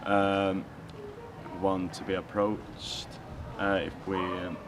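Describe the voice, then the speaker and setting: A man's voice: sits low, little pitch movement, slow. A young man, speaking in a casual interview and hesitating often with filler sounds. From Sweden. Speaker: youth rally participant. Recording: outdoor recording with loud music.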